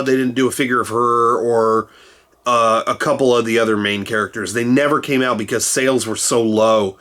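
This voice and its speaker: A man in his thirties hosting a casual chat from a cluttered room at home. He rambles and jokes, and he is getting over a cold. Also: a bit bright. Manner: rambling and jokey, with sudden jumps in pitch. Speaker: host.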